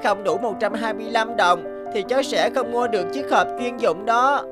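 A young street boy from a cartoon, voiced by a woman. He speaks politely and with earnest eagerness in a fairly high voice. Music plays behind. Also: moderate pace, natural voice. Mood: earnest pleading.

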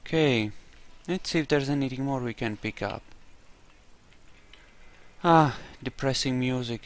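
Slow-paced man doing casual game commentary. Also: medium pitch. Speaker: gamer narrating a playthrough. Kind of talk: casual let's play commentary, in-character roleplay.

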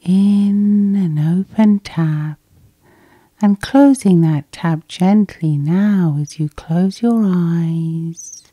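Female hypnosis guide. Hushed, breathy and unhurried, soothing delivery, very dry intimate sound. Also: on the low side, no echo. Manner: soft, soothing, slow breathy half-whisper. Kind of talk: whispered sleep hypnosis, ASMR guided meditation.

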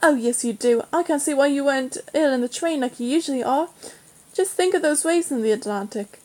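A woman voicing a bold, teasing schoolboy, an older brother quick to bristle, in a storybook reading. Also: high voice, warm tone, voice pushed up, natural voice. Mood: gleeful teasing.

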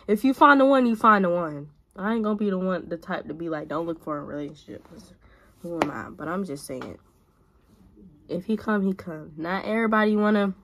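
A woman in her twenties talking casually to a phone camera while doing her makeup in a small bedroom, giving blunt big-sister advice. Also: medium pitch. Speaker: vlogger. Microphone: phone camera at arm's length.

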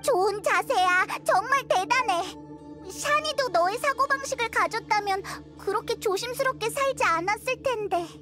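A woman voicing a small, childlike fairy companion in an anime game, curious, excitable and earnest, with expressive, naive delivery. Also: moderate pace, loud music behind the voice. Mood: admiring. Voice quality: squeaky, childlike.

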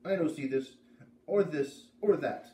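A man's voice: on the high side, unhurried, dark tone, voice pushed up, lightly acted. A man voicing a blunt older woman who speaks in clipped, broken English, in a storybook read-aloud. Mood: puzzled, insistent. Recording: roomy, distant camera mic with faint noise.

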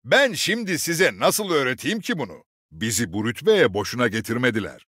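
An actor playing a gruff, middle-aged veteran commander in a video game, barking short, authoritative orders with dry swagger. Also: male voice, mid-range voice, big pitch swings, moderate pace, fairly bright tone, no room sound. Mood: dry, teasing. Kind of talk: video game voice lines, short military barks.

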